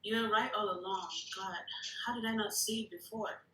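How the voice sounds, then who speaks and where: A woman's voice: mid-range voice, steady tone, normal speed, sharp tone, slight background noise, lightly acted. A woman acting a tough, blunt secret-agency recruit at a screenplay table read. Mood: frustrated. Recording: echoey room.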